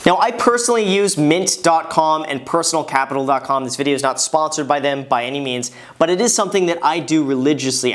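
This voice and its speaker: A man in his late twenties, talking to camera in an upbeat, conversational way while giving money advice. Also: on the high side. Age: 20s. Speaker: finance vlogger. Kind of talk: casual advice talk to camera.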